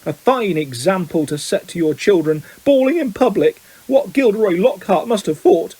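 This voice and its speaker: A man voicing a fierce, middle-aged mother in an audiobook, shrill and indignant. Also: animated, normal speed, dark tone, breathy edge, no room sound, rough recording. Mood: furious.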